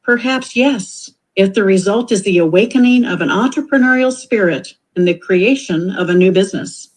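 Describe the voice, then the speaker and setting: A woman reads scripted commentary at a mid-range pitch. She speaks deliberately and reflectively, posing questions in a thoughtful tone. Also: poor audio.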